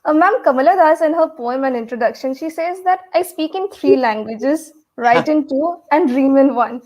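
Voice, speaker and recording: High-pitched woman interviewer. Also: moderate pace, darkish tone. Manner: polite, formal. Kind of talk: prepared interview questions.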